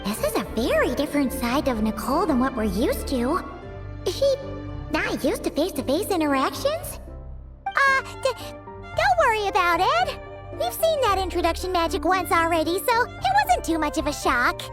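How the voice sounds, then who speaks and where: A woman voicing a tiny, childlike, excitable fairy companion in a video game, with a squeaky voice. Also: moderate pace, loud music behind the voice. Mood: reassuring. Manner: refers to self by name, exclamatory. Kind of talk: video game dialogue acting.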